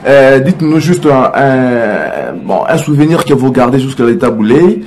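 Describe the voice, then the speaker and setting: A man's voice: mid-range voice, animated, normal speed, a little mellow, a little hiss or hum. A male TV host running an interview, chatty and affirming, with frequent "voilà". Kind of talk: hosting an interview.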